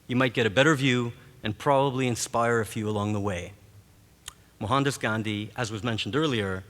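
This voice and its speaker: A man from Montreal whose first language is Greek, giving a formal graduation address as a professor in a measured, rhetorical way. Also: medium pitch, fairly bright tone. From Montreal, Canada. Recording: long reverb of a large hall.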